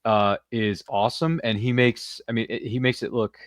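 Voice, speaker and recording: A man's voice: mid-range voice, steady tone, moderate pace, no echo, studio quality. A man hosting a casual interview show, chatty and informal, often speaking directly to his listeners. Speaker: podcast host.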